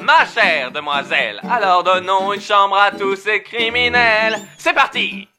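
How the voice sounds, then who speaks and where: A man voicing a grinning, theatrical demon gentleman with mocking politeness in an amateur cartoon fan dub. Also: high voice, a little slow, music in the background. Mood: grand, jubilant. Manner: theatrical, mannered, falsely courteous.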